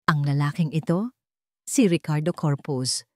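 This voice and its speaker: A woman's voice: low voice, no echo, clean studio sound. Woman reading as a determined, weighty Filipino businessman. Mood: grave, accusing. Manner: calm, weighty, deliberate. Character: determined male chief executive making a public declaration. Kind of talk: audio storybook drama.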